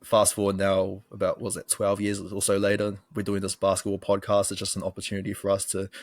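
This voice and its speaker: Low, flat-pitched New Zealand man. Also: fast talker, no room sound, clean studio sound. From Auckland, New Zealand. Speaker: podcast host, sports journalist. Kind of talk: casual podcast chat.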